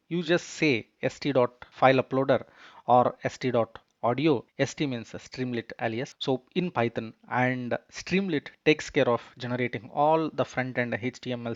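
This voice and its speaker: A man presents a software walkthrough, explaining step by step with some hesitation. His voice is dry and close, on a slightly dull, muffled recording. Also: medium pitch, no room sound. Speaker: tutorial presenter. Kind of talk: screencast software tutorial narration.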